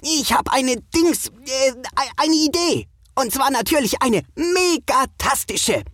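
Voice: high voice, animated, slow pace, fairly bright tone, no room sound, clean studio sound, voice pushed up, exaggerated voice. A narrator voicing an eager, boastful young superhero who gushes and gets flustered and stammers, in a children's audio story. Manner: exuberant, stammering, invents gushing super-words.

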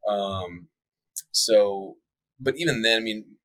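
A young man with a lowish voice talks through his plans in a relaxed, rambling podcast chat. He fills his sentences with likes and ums and keeps an easy, upbeat tone. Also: takes it slowly, dry recording, low-quality recording. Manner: laid-back, many likes and ums, faith talk.

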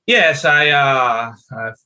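Halting Venezuelan man with bright voice. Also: medium pitch. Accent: Venezuelan Spanish. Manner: halting, many fillers and restarts. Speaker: guest. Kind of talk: podcast interview answer.

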